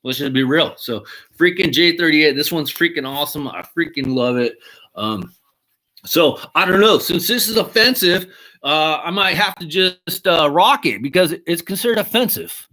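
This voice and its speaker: A man in his thirties or forties chats casually and excitedly, his voice bright and fairly high. He swears offhandedly and keeps calling things awesome, like a collector vlogging his latest finds. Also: moderate pace, low-quality recording. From Mexican heritage. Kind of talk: casual unboxing chat.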